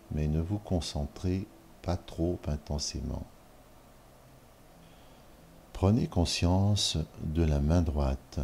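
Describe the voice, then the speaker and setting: Male yoga nidra guide: very low, dark voice, measured short phrases with long pauses, calm instruction for relaxation. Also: slight background noise.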